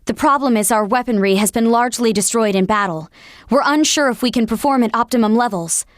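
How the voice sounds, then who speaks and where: A woman's voice: fairly high pitch, a little fast, dry recording, studio quality, natural voice. A woman playing an earnest, dutiful military operator in a video game, speaking formally. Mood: grave, explaining. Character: earnest female military operator briefing her commander. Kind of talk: scripted video game voice acting.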